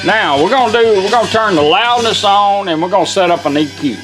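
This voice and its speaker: A man with a fairly high-pitched voice shows how a device works. He is casual and folksy, taking it step by step, while guitar music plays clearly behind him. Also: a little fast, poor audio. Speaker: presenter demonstrating a car radio. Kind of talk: casual hands-on product demonstration.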